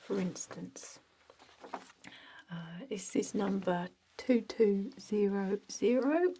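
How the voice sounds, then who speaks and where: Casting: woman as energy vampire clerk for ASMR roleplay; mellow, breathy half-whisper, deadpan and rambling, faint background noise. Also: mid-range voice. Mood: matter-of-fact. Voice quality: soft, breathy, often whispered. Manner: hesitant, rambling, deadpan workplace small talk. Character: chatty energy vampire office clerk, drily jokey.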